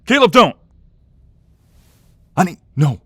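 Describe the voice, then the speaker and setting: A man's voice: sits high, sing-song, slow pace, a bit bright, breathy edge, no room sound, studio quality, full character voice. A man playing a protective, troubled mobster who speaks earnestly and tenderly to his lover in an ASMR roleplay. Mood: furious.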